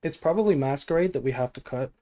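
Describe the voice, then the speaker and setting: A sleepy man chatting casually on a game stream, musing aloud in a low-key way. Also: animated, quick pace, warm tone. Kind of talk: casual gameplay stream chat and deck analysis.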